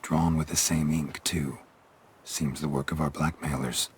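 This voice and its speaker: A man's voice: extremely low pitch, fairly even intonation, measured pace. A man with an American accent playing a stoic, middle-aged monster hunter in a video game, speaking in terse, clipped, world-weary phrases. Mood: guarded. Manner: terse, clipped, drops sentence subjects. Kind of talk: video game dialogue acting. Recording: clear background noise, ambience.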